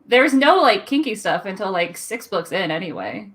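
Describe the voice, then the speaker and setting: A woman's voice: medium pitch, very animated, moderate pace, a bit bright. Self-deprecating woman chatting about books. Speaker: guest on a live book show. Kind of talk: casual group chat about books.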